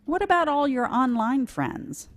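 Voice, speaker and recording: A no-nonsense female vulture, blunt and worn thin by her friend, put on by a woman reading a storybook. Her lines come slow and emphatic, pitched a little above everyday speech. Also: lively intonation. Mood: cool. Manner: blunt, exasperated. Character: sensible, fed-up female vulture.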